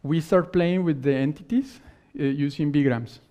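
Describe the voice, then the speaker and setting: A man whose first language is not English, giving a technical conference talk and answering questions in halting phrases that he often restarts. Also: a little high, dark tone. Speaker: conference speaker. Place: conference hall stage. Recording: dull lo-fi conference recording.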